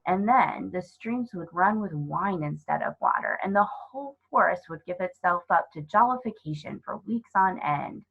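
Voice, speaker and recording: A woman narrating a children's storybook as a reader, in an expressive scripted style. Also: lowish voice, normal speed, creaky, few pauses, breathy edge, narrow, tinny audio.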